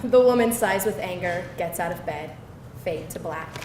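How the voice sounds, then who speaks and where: A woman's voice: fairly low pitch, moderate pace. A woman narrating scripted stage directions at a live screenplay table read. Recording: echoey room, distant mic, faint noise.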